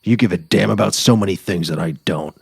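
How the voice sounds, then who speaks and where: A man's voice: low voice, brisk, vocal fry, big-room sound. A man playing a weary, sardonic dying writer on safari in a dramatic literary reading. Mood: irritable. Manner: sardonic, resigned, quarrelsome.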